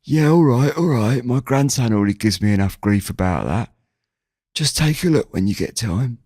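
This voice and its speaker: A man's voice: mid-range voice, animated, moderate pace, a little mellow, dry recording, studio quality, pitched above the performer's own voice, lightly acted. A man voicing a chatty, nosy old village grandfather in an audio drama, folksy and grumbling in manner. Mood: gruff, teasing. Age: older. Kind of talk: audio drama character dialogue.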